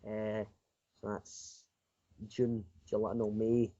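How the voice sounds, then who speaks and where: A man's voice: on the low side, completely flat delivery, takes it slowly, dark tone, lo-fi sound. A sixteen-year-old male game developer giving casual, unscripted interview answers, rambling and often repeating his phrases. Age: 16, teenager. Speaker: self-taught indie game developer being interviewed.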